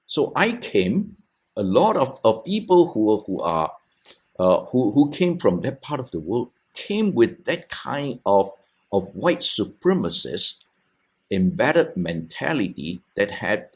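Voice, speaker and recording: This is a middle-aged man from Malaysia who speaks very slowly and keeps stopping to restart his sentences. His low-ish voice swings widely and expressively in pitch. He sounds like a theologian working through an idea on a panel. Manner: halting, with many restarts and repeated words. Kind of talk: thoughtful discussion on a video podcast.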